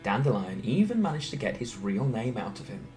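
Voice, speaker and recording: A man reading a scripted story aloud in a theatrical, expressive way. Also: medium pitch, fairly quick. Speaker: storyteller reading fan narration. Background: background music.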